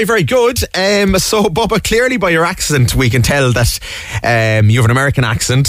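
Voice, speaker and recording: A man's voice: mid-range voice, no room sound. A man interviewing a guest on radio, friendly, with long wordy questions.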